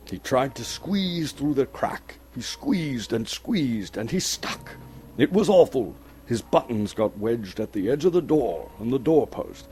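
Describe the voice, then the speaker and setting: A man from Canada giving a dramatic, suspenseful storybook narration with theatrical, deliberate phrasing. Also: mid-range voice, warm tone, a little hiss or hum. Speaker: actor reading a story aloud. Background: music.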